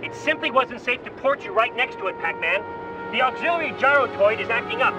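A man's voice: very high-pitched, big pitch swings, fairly quick, dull audio. A man playing an earnest, fussy inventor and scientist ally in a video game, speaking in technical, urgent terms. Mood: fussy, flustered. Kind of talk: video game cutscene acting. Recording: loud music behind, distant-sounding voice.

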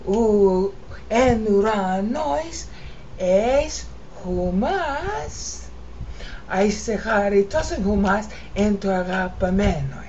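A woman teaching informally, explaining and chanting Greek verse in a drawn-out sing-song way. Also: mid-range voice, a little mellow. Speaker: amateur Bible teacher. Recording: muffled lo-fi recording with background noise.

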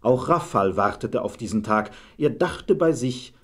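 A man narrating a scripted fairy tale as a measured storyteller. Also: mid-range voice, expressive, husky.

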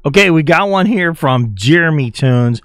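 Guy doing casual reaction commentary, slightly high voice, slangy, dry close sound. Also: expressive, moderate pace, a bit bright, clear, resonant tone, dry recording. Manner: informal, slangy, often chuckling. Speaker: video host.

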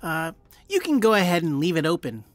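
A woman playing a polite, low-key helper in an audio drama, courteous and casual. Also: big pitch swings, brisk, no echo. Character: polite, low-key person fixing a lock.

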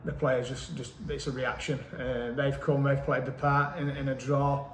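A man, a football manager giving a measured, matter-of-fact post-match interview with frequent hesitant ums. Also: mid-range voice, level tone. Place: small indoor club office. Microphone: camera mic at close range. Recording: echoey room, faint background noise.

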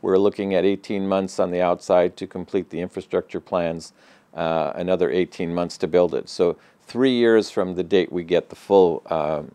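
Deep-voiced older man, measured business answers. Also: steady tone, mellow, clear, resonant tone, no echo. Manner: measured, matter-of-fact, with frequent uh fillers and restarts. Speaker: regional center president, interview guest. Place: office with bookshelves, indoors. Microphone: lapel mic.

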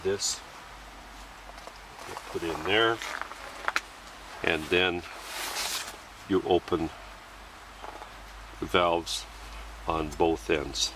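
A man giving a plain, methodical how-to voice-over about refilling camping fuel canisters, pausing between phrases. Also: low voice, steady tone, background noise. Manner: plain, methodical explaining with pauses. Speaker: gear demonstrator. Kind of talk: instructional voice-over.

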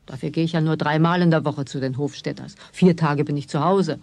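A woman playing a brisk, matter-of-fact working grandmother with a gravelly, husky older voice in a children's radio play. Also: sits low, a little mellow, dry recording. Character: brisk, unfussy working grandmother, a cleaning lady.

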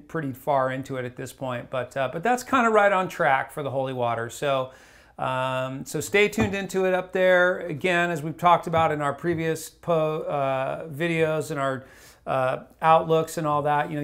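A man in his forties giving a casual fishing report to camera in a small wood-paneled room, relaxed and rambling with lots of fillers and restarts. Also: a little high. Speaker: fly shop fishing reporter.

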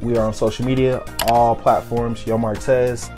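A young man chatting easily about his craft while he cuts hair, with a mid-range voice. He talks on a lapel mic in a small barbershop, with soft music playing underneath. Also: medium pitch, moderate pace. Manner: relaxed, chatty, explains as he works. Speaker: barber and shop owner. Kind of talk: casual interview while cutting hair.

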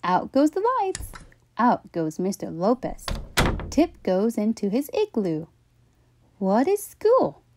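A woman reading a children's story aloud with expressive, deliberate, emphatic phrasing. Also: medium pitch, background noise.

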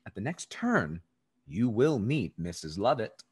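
A man's voice: fairly low pitch, very animated, a little slow, husky, heavily acted. A young man playing a suave, scheming rake in a period stage comedy, sly and theatrical. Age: young adult. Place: dark indoor room, video call.

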